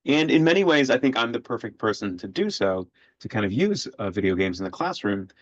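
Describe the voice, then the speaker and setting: A man in his forties from Sweden, a history professor speaking as a podcast guest in a measured way with many filler sounds. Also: medium pitch, very animated, no echo. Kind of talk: podcast interview. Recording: dull lo-fi audio, dry.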